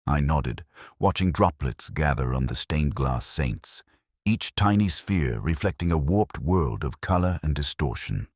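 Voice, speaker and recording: Brooding middle-aged detective, extremely low voice, expressive rise and fall, measured literary radio drama narration, wry, melancholic. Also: male voice, no room sound, studio quality, exaggerated voice. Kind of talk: scripted first-person radio drama narration.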